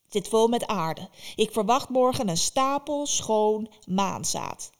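A woman's voice: medium pitch, expressive, takes it slowly. Woman playing a fierce old witch. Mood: stern. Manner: loud, stern, scolding.

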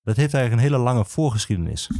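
Historian guy the explaining stuff, mellow lowish voice, hesitant, super dry sound. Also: animated, racing, no echo. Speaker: guest, archivist and historian. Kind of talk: explanatory interview talk.

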